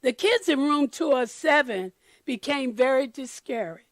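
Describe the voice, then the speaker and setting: A woman reading a children's picture book aloud expressively, deliberately addressing children and explaining the pictures. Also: high-pitched, animated, dry recording.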